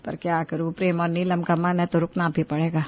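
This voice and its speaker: A woman's voice: lowish voice, flat delivery, a little fast, dull audio, pitched below the performer's own voice, natural voice. A woman voicing a cranky, complaining older mother-in-law in a moral-story cartoon, scolding and grumbling with exclamations.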